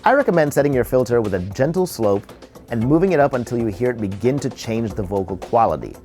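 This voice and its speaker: A man presenting an audio tutorial in an instructional, measured, step-by-step way. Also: medium pitch, animated, dark tone, soft background music. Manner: explanatory, measured step-by-step delivery. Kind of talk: instructional narration.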